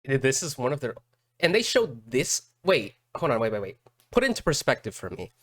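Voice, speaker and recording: A man doing casual live reaction commentary as a streamer, halting and repeating words as he thinks aloud. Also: medium pitch, very animated, dry recording, clean studio sound. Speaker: streamer reacting to game videos.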